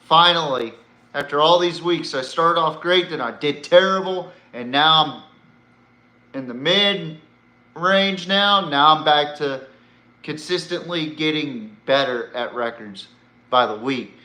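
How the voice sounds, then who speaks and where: A man's voice: fairly high pitch, a little slow, pushed and bright. A man hosting a sports podcast, giving blunt, profane, opinionated commentary like an exasperated fan. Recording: roomy echo, faint background noise.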